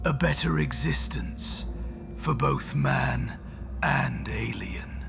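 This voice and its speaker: Dying rebel prisoner, strained breathy rasp, higher n halting, fervent sci-fi drama, music behind. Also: male voice. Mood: fervent hope. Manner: halting, weak but fervent. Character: dying, defiant human traitor captive, fervently idealistic.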